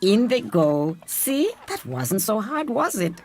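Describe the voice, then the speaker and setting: Elderly granny, South African accent, medium pitch, teasing and wise, radio drama. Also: female voice, very animated, takes it slowly, darkish tone, slight background noise. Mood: teasing, instructive. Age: older. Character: wise, teasing old grandmother.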